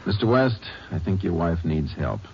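An actor playing a laconic Texas Ranger who investigates and narrates, in a measured American voice in 1950s radio drama style. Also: male voice, low-pitched, big pitch swings, slight background noise. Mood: calm, serious. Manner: measured, matter-of-fact. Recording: muffled old radio recording, music behind.

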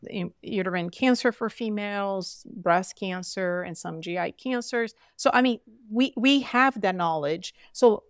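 A woman's voice: mid-range voice, clear, resonant tone, dry recording, studio quality. A middle-aged woman from Brazil, a physician guest speaking earnestly and emphatically in conversation, with frequent fillers. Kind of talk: conversational interview.

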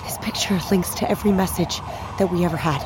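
A woman's voice: lowish voice, fairly even intonation, quick pace, very noisy, natural voice. An actress playing a frantic, foul-mouthed young woman hacker, brusque and determined, in a naturalistic audio drama. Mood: urgent, earnest. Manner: urgent, blunt, swearing. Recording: music behind the voice.

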